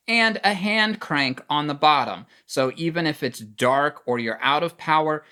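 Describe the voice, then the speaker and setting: A man's voice: a little high, bright, forceful tone. A man giving a casual, plain-spoken product review, explaining features as he demonstrates them.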